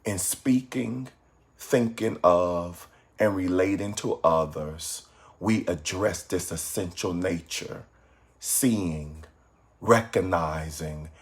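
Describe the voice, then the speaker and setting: A man leading a guided meditation from a script in a calm, measured, soothing way with pauses. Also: sits low.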